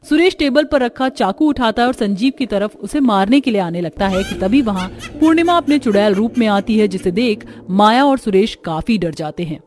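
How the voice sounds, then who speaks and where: Woman narrating a scripted story, mid-pitched. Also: lively intonation, moderate pace, few pauses, darkish tone.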